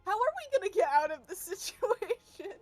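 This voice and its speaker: A woman's voice: extremely high pitch, sing-song, normal speed, darkish tone, dry recording, soft background music. A woman playing a tabletop roleplaying game, chatting casually with a dramatic, pleading way of talking. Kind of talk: casual group game chat.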